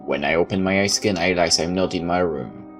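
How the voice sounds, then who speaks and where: A man's voice: deep voice, fast talker. A man reading a visual novel aloud in first person, sometimes stepping out of the narration for casual comments. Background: background music.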